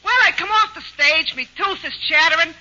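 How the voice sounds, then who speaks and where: Tough slangy showgirl, high sharp voice, old dull radio sound. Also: moderate pace, heavy background noise. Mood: boastful. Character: brassy young female burlesque bubble dancer. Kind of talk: 1940s radio comedy acting. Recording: lo-fi 1940s radio broadcast.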